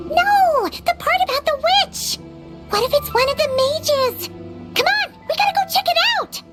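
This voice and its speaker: A tiny excitable fairy girl from a video game, speaks in an extremely high, squeaky childlike voice. Her delivery is bright and swoops around in exclamations, with music underneath. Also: moderate pace. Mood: excited, urgent. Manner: speaks of herself in third person, exclamatory.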